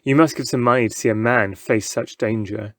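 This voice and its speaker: A man's voice: medium pitch, a little fast, fairly bright tone, dry recording, clean studio sound, natural voice. A man voicing a theatrical showman in a storybook, goading and coaxing a crowd insistently.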